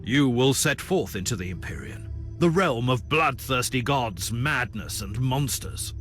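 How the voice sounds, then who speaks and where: Stern, commanding game Inquisitor. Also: male voice, mid-range voice, lively intonation, a little slow, fairly bright tone, faint music behind. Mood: grave warning. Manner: grave, formal, authoritative. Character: stern, commanding male Inquisitor, grim war leader. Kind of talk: dramatic video game cutscene acting.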